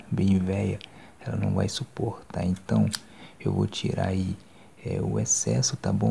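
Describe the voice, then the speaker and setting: A young Brazilian man in his twenties doing a calm, informal ASMR barber roleplay, hushed and reassuring, close to the mic. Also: on the low side, gravelly, a little hiss or hum. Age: 20s. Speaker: ASMR creator playing a barber. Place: small decorated bedroom indoors. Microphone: phone microphone held close.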